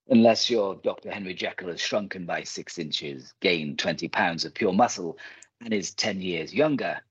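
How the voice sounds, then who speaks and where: A man playing an earnest, righteous, protective gentleman in a radio play, speaking formally and theatrically. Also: deep voice, a little slow, slightly rough, no echo, highs cut off. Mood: storytelling.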